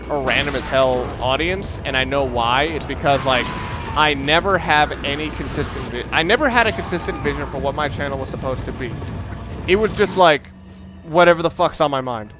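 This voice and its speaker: A man with a slightly high voice talks through a video game as he plays. He rambles casually and puts himself down. Clear game music runs behind him. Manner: rambling, self-deprecating. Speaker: gaming video creator. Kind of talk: casual let's play commentary. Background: video game music and sounds.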